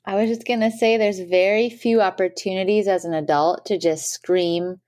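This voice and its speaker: An enthusiastic woman co-hosting a podcast in easy, casual conversation. Her voice sits at a middle pitch. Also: steady tone, normal speed, darkish tone. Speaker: podcast co-host.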